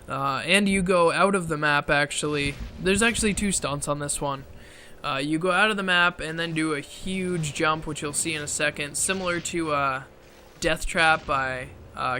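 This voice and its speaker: A man giving casual commentary over gameplay footage, halting with many fillers, clearing his throat often, his voice strained from talking so long. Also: fairly high pitch, sharp tone, slight background noise. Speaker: gaming video creator.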